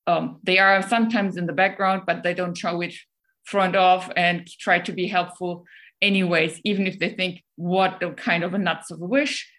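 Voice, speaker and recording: A woman's voice: medium pitch, fairly bright tone. A woman with a German accent, a guest speaker answering questions in a casual, hesitant way, full of ums and restarts.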